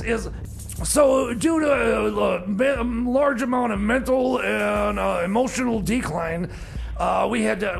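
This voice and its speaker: A man playing a flustered, grumbling radio DJ, halting and repeating himself in rambling comedy banter. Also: sits high, gravelly, fairly bright tone, heavily acted. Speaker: radio DJ and host.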